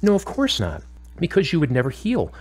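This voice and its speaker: A man acting as a calm, firm, probing therapist in a scripted audio drama, with a measured, instructive and questioning way of talking. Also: on the high side, husky, full character voice. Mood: stern, firm.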